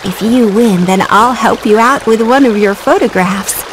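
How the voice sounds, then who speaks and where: A woman's voice: a little high, moderate pace, background noise, lightly acted. A woman voicing a friendly, helpful little canary in a video game cutscene. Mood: cheerful, instructive. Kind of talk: video game cutscene acting, giving instructions.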